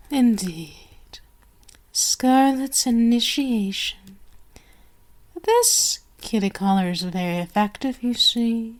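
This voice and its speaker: A woman's voice: fairly high pitch, big pitch swings, very slow, pauses often, full character voice. A woman playing an imperious, sadistic vampire queen in an ASMR roleplay, formal and commanding, seductive and possessive as she savors each phrase. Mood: regal, smug.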